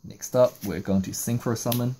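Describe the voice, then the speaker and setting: A man's voice: mid-range voice, fairly even intonation, moderate pace, dark tone, a little hiss or hum. A man narrating a step-by-step card game tutorial, explaining each move in sequence. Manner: explanatory, walking through moves in sequence. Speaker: trading card game YouTuber.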